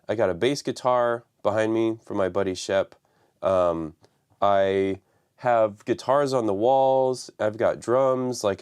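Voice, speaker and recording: Guy with a raspy flu voice rambling on a live stream from his home studio, kinda low. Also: a little mellow. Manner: rambling, many fillers. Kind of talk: casual live stream talk.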